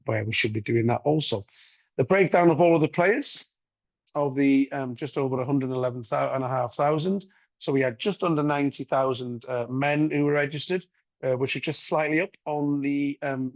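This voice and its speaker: A man with a croaky voice from a recent cold presents a webinar as a data manager, explaining things steadily with lots of ums and uhs. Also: mid-range voice, no echo. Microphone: video call microphone. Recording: dull, dry online-call audio.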